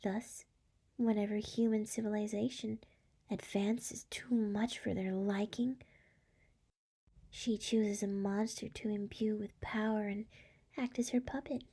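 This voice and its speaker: Breathy, slow seductive demon lady played by a woman. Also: medium pitch, fairly even intonation. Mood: coaxing, solemn. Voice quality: breathy, often whispering. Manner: slow, soft, coaxing. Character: seductive, tender female demon lord, a succubus. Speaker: ASMR roleplay voice actress. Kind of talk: scripted ASMR roleplay, intimate address to listener.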